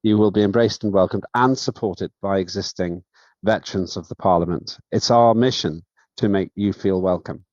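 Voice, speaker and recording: Low-voiced man chairing a parliament. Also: darkish tone, no echo. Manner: measured, halting with many fillers. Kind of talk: formal remarks at an online session. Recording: dull, dry lo-fi sound.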